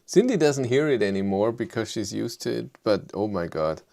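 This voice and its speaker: A man's voice: animated, moderate pace, gravelly, darkish tone. Hesitant man with a German accent. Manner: hesitant, low-key, many fillers, self-deprecating. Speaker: livestream host. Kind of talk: casual live chat answering viewers.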